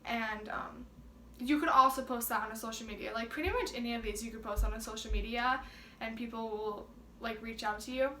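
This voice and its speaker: Bright, chatty teen girl. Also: on the high side, brisk, slight background noise. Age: teens. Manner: chatty, many filler "likes". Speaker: co-host. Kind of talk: casual chat. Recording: echoey room.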